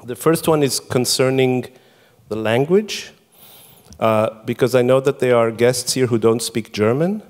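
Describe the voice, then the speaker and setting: A man's voice: mid-range voice, a little slow, darkish tone. A middle-aged man whose first language is Hebrew, giving a chatty, self-deprecating conference talk to an audience, full of fillers and restarts. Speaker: conference speaker. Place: conference hall before an audience.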